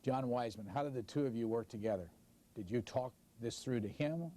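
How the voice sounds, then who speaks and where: A man's voice: mid-range voice, a little fast, warm tone. A man interviewing a guest, asking short, direct questions.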